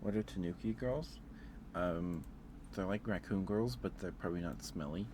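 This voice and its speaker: A soft-spoken man hosting a casual ASMR stream, chatting with viewers and musing aloud in a hesitant way. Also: low-pitched, normal speed, noisy background.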